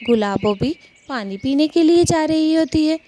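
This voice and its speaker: Female cartoon narrator, lightly high pitch, very varied intonation. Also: expressive, measured pace, mellow, slight background noise. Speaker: story narrator. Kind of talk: narration of a Hindi cartoon story.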